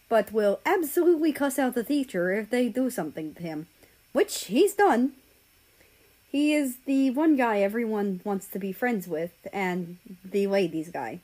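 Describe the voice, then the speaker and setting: Woman reading as an earnest, rambling teenage boy, unhurried. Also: mid-range voice, a little mellow, low-quality recording. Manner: hesitant, rambling.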